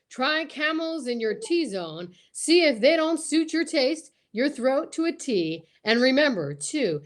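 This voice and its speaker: A woman's voice: high voice, fairly bright tone. A woman co-hosting an online show, chatting casually and reading old radio scripts in an exclamatory, joking manner. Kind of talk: casual chat and mock announcer script reading. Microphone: video call or livestream.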